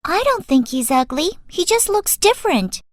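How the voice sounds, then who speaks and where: A woman's voice: extremely high pitch, big pitch swings, moderate pace, no echo, clean studio sound. A woman voicing a kind, thoughtful young girl in a children's storybook. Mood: earnest.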